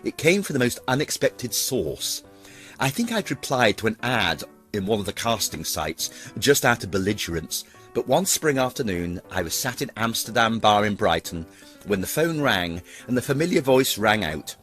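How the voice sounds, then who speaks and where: A man's voice: lowish voice, a bit bright. A middle-aged British man giving a scripted, fond and theatrical account of a life on stage, with ornate phrasing. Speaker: pantomime performer narrating a career retrospective. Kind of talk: scripted autobiographical narration.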